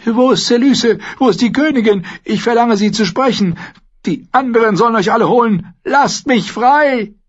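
A man's voice: fairly high pitch, expressive, moderate pace, husky, muffled recording, voice pushed up. A man voicing a desperate, haughty elderly nobleman prisoner in an audiobook, pompous and pleading. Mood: desperate, outraged. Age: older. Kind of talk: audiobook character acting in a fantasy novel.

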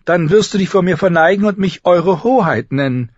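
A man's voice: animated, normal speed, no echo, highs cut off. A man voicing a haughty young noble girl in a storybook, petulant and indignant. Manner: blasé, indignant, shrill when upset.

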